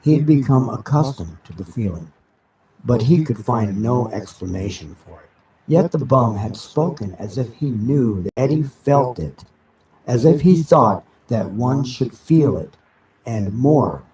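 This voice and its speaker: A man reading a novel aloud live as dramatic narration, with deliberate, halting phrasing. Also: mid-range voice, warm tone. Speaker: reader of an audiobook. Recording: noisy amateur live recording with background ambience. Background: background ambient sounds.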